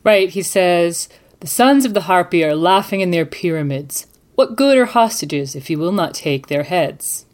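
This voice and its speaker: A woman with a fairly low voice co-hosts a podcast. Her delivery is composed and deliberate, with the measured feel of someone presenting or reading prepared material. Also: expressive. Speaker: podcast co-host.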